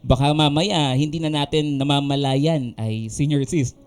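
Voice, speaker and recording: A man playing a tender, gently teasing young husband and father in a radio drama, over music. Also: fairly high pitch, fairly even intonation, moderate pace, smooth, natural voice. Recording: music under the voice.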